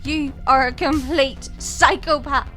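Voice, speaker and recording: Actress as outspoken young female student; very high, bright, insistent, audio drama. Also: steady tone, slow, soft background music, heavily acted. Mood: indignant. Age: young adult. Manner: insistent, indignant.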